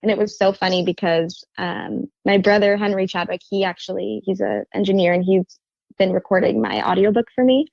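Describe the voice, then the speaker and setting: Soft-spoken woman with a gravelly edge. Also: medium pitch, fairly even intonation, a little fast, no echo, highs cut off. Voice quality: soft, quiet voice. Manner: hesitant, many fillers and restarts. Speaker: author and guest. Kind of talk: casual interview chat.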